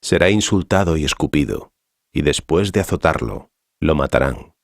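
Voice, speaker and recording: Un actor como Jesús, maestro solemne de voz muy grave. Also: male voice, very deep voice, expressive, creaky, dry recording, studio quality, full character voice. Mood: solemn authority. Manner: solemn, measured, didactic. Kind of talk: dramatized scripture reading.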